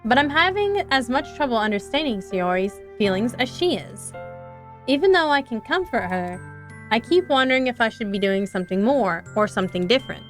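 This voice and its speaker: A young woman streamer reads a visual novel aloud in an expressive, earnest way into a close microphone. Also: on the high side, a little fast, faint music behind.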